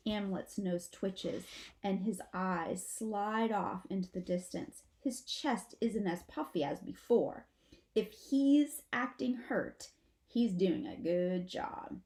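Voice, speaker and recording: A woman narrating a children's book aloud in an expressive, measured, dramatic storytelling manner. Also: mid-range voice. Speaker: storybook reader.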